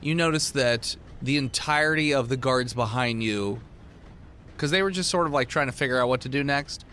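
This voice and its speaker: A man's voice: mid-range voice, moderate pace, pushed and bright, slight background noise. A man running a tabletop game as game master, improvising narration in a casual, sweary way.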